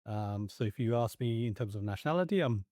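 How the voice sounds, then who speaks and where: Reflective middle-aged man, low mellow voice. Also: lively intonation, a little fast, dry recording, clean studio sound. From Nigeria, Ethiopia and the UK. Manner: reflective, with frequent restarts and fillers. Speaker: guest. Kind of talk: conversational interview.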